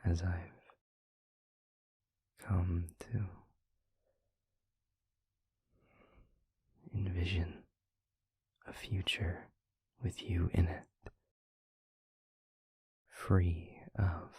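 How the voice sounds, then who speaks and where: A man playing a tender, wistful demon lover in an intimate audio roleplay, whispering softly and haltingly in a breathy, husky voice, close to the mic. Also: deep voice, flat delivery, no room sound, exaggerated voice. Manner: slow, halting, soft-spoken delivery. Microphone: close microphone.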